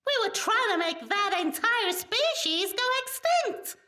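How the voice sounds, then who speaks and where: A woman's voice: very high voice, very animated, unhurried, pushed and bright, full character voice. A woman playing an indignant, moralising creature made to entertain, speaking emphatically in an audio play. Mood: matter-of-fact. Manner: emphatic, scolding. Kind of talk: fantastical audio play acting. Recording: roomy, distant microphone.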